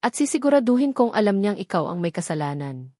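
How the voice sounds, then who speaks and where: A wealthy, venomous stepmother from a romantic audio storybook, acted by a woman narrator. She speaks with a sweet softness that carries cold menace. The sound is dry, with little room around it. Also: mid-range voice, very animated, fast talker, no echo. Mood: smug, menacing. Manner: soft, sweet but menacing.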